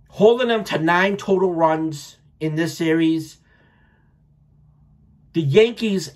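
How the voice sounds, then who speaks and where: An American man in his thirties giving casual, blunt, opinionated sports commentary with plenty of swearing, recorded at home on a webcam. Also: high-pitched. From Rhode Island, United States. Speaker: sports vlogger, baseball fan. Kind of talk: casual post-game reaction commentary. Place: small furnished room at home.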